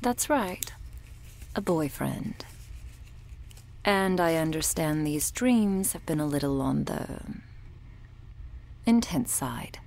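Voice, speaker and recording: A woman playing a cold, domineering medic in an ASMR roleplay, speaking in a breathy, condescending near-whisper with quiet menace. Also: low-pitched, expressive, slow pace, long pauses, a little hiss or hum, exaggerated voice. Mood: falsely soothing. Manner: condescending, menacing, coolly authoritative. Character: cold, sadistic, domineering woman medic running a captive operation.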